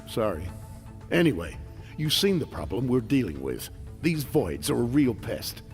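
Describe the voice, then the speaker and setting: A brisk earnest space security commander, game cutscene briefing, music under it. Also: male voice, mid-range voice, big pitch swings. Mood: serious briefing. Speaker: security chief officer. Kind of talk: scripted video game cutscene dialogue.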